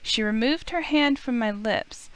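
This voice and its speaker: A woman's voice: on the high side, measured pace, fairly bright tone, clean tone, dry recording. A woman playing an indignant young human heroine who narrates a visual novel in the first person and voices her own defiant lines. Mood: indignant narrating. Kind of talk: first-person story narration with acted dialogue.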